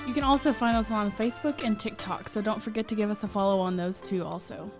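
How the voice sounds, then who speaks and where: A woman's voice: mid-range voice, fast talker. A woman hosting a podcast, giving an upbeat promo in a conversational way. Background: music bed.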